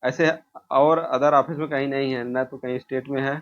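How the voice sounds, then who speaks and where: A man teaches in a medium-pitched voice. He goes through exam questions informally, posing each one and then explaining the answer himself. The recording is dull and lo-fi, with a muffled top end. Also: steady tone, normal speed. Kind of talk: informal lesson walking through quiz questions.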